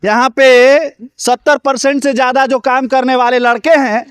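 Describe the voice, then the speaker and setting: A man's voice: extremely high pitch, level tone, normal speed, a bit bright, clear, resonant tone, no echo, studio quality. A man reporting on location at a wedding lawn, speaking in an urgent, indignant way and questioning the people there. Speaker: local news reporter. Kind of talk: on-the-spot news report with interviews.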